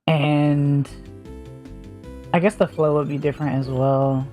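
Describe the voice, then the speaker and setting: A woman's voice: low voice, little pitch movement, takes it slowly, dark tone, soft background music. A woman with a mild Southern US accent, chatting casually on a stream and rambling as she thinks aloud with lots of fillers. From Southern United States.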